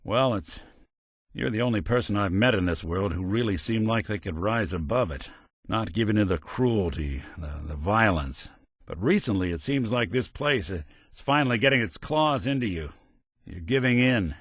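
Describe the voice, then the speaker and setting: A man's voice: fairly low pitch, expressive, normal speed, no room sound, clean studio sound. A male actor playing a world-weary, principled android detective in a video game, with a wry, folksy hardboiled way of talking and old-fashioned slang. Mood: concerned. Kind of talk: video game companion dialogue, noir detective.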